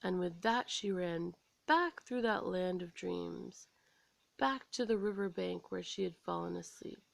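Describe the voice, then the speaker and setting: An American woman storyteller reading a scripted children's story aloud in an expressive, dramatic way. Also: fairly low pitch, a little slow, gravelly, dry recording.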